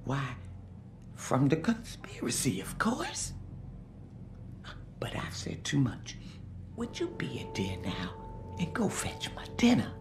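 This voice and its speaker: An actress playing a kindly, forgetful elderly former nurse in a video game, breathy, quavering and wandering as she talks. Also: very deep voice, huge pitch swings, takes it slowly, long pauses, in a whisper, heavily acted. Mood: secretive, coy. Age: older. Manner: wandering, doddering.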